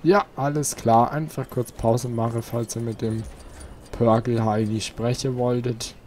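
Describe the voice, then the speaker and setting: A man's voice: medium pitch, flat delivery, a little slow, a little mellow, slight background noise. A man hosting a casual let's play, chatting to his viewers. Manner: chatty, talks to viewers, asks for likes. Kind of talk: casual gameplay commentary.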